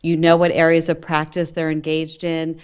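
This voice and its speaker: A woman panelist with a loud voice, giving direct, conversational advice to law students. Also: sits low, monotone, normal speed, clear, resonant tone. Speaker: panelist, former federal prosecutor. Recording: dull lo-fi sound.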